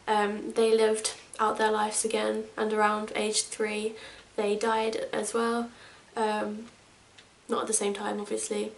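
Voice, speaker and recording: A woman's voice: medium pitch, completely flat delivery, slow, creaky, a little hiss or hum. A woman in her twenties from England, chatting casually to camera as a vlogger, rambling with lots of fillers. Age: 20s. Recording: echoey room, mic at a distance.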